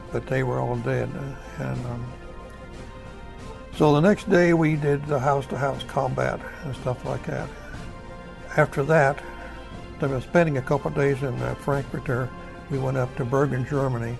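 A man's voice: mid-range voice, vocal fry, low-quality recording. An elderly American man, a war veteran, recounting his memories in a plain, folksy, matter-of-fact way. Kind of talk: oral history recollection. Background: music.

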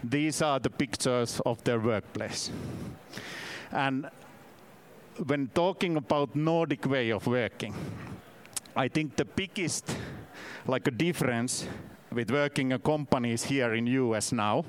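A man from Finland in his fifties with a Finnish accent, giving a halting, self-deprecating conference talk in a second language. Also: medium pitch. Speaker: conference speaker. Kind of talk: conference presentation. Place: conference session room.

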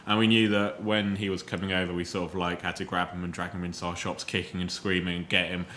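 A man's voice: deep voice, little pitch movement, fast, a bit bright, a little hiss or hum. A man who runs a beer shop, talking in a jokey, chatty way. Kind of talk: casual chat. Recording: echoey room.

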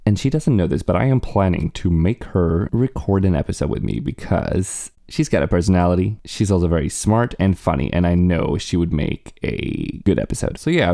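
A man's voice: sits low, dark tone, dry recording, clean studio sound. A man whose first language is Swedish, hosting his own podcast in a casual, earnest and upbeat monologue as an actor.

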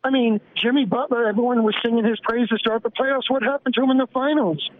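A man calling in to a sports radio show and making a steady, earnest argument. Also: very high voice, fast, dry recording. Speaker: caller. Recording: tinny noisy phone line.

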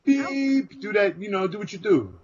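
A man's voice: high-pitched, expressive, moderate pace, lo-fi sound, a loud crowd. Loose, self-mocking man on a podcast. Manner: loose and self-mocking, giving shout-outs. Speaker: podcast co-host. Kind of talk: casual chat.

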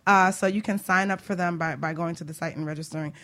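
Woman, talk show host, very bright tone, playful, casual banter. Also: on the low side, fairly even intonation, quick pace. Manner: playful, teasing. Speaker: radio show host, business and life coach.

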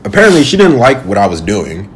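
A young man co-hosting a casual podcast, telling stories in slangy, profane, animated talk. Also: fairly low pitch, fast talker. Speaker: podcast co-host. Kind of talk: casual chat and storytelling.

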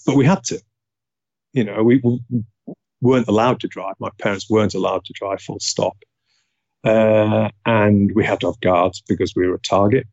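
A man's voice: on the low side, vocal fry, a little mellow, dry recording, muffled recording. A man with a Yorkshire accent, a guest on an interview show, talking about his life in a reflective way with many hesitations. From England, Leeds. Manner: reflective, frequent filler hesitations. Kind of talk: casual interview talk.

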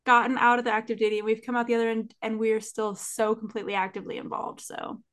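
A woman's voice: fairly high pitch, level tone, fast. Upbeat woman podcast co-host. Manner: enthusiastic, upbeat. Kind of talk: casual podcast chat.

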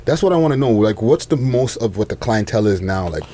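A man's voice: lowish voice, animated, fast, dark tone. A man hosting a casual interview, asking questions in an informal, slangy way. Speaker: interviewer, host.

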